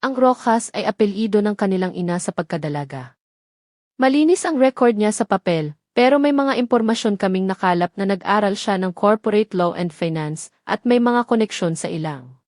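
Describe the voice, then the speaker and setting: A woman's voice: mid-range voice, animated, normal speed, darkish tone, dry recording, close to an everyday voice. A formal Filipino security chief, once a military officer, in an audio drama, put on by a woman reader. He reports factually and deferentially. The sound is dry and close. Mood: businesslike.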